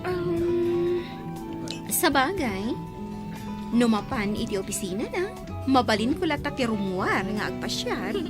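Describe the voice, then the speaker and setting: Woman as coy young woman, very high voice. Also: sing-song, a little slow, long pauses, over loud music. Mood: musing. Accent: Filipino. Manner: playful, giggly, coy. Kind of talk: amateur audio drama acting.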